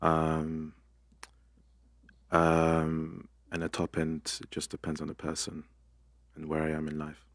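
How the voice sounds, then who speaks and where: A man's voice: a bass voice, completely flat delivery, slow pace, pauses often, no echo, studio quality. A man in his twenties, a podcast guest, speaking hesitantly and repeating words. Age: 20s.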